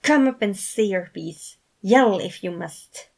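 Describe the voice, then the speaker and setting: A woman's voice: mid-range voice, expressive, takes it slowly, creaky, a little mellow, heavily acted. Woman reading as a stern, sardonic man. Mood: scolding, worried. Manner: reproving, scoffing. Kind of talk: fan fiction character reading.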